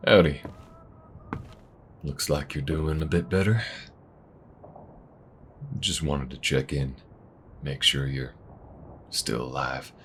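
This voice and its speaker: A man's voice: rumbling low, fairly even intonation, slow pace, long pauses, a bit bright, slight background noise, heavily acted. A man playing a blunt, good-natured workshop partner, speaking in a laid-back, teasing way in a casual audio roleplay. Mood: friendly, casual.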